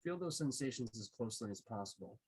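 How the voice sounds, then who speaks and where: A man leading a guided meditation, speaking in unhurried short phrases with pauses between them. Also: mid-range voice, lively intonation, darkish tone, breathy edge, low-quality recording.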